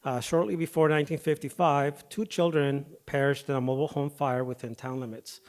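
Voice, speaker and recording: Firefighter giving a speech at the podium. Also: male voice, fairly high pitch, a little fast. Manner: hesitant start with fillers, then steady reading of a prepared speech. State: voice affected by recent dental work and illness. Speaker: firefighter paramedic and foundation president. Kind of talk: prepared speech at an awards podium. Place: awards ceremony hall. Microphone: podium microphone.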